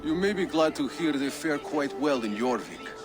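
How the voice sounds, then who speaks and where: A man plays a snide, greedy dockmaster with a slightly high, very lively voice. His lines are sarcastic and grasping, with clear music behind them. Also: normal speed, close to an everyday voice. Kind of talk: video game cutscene acting.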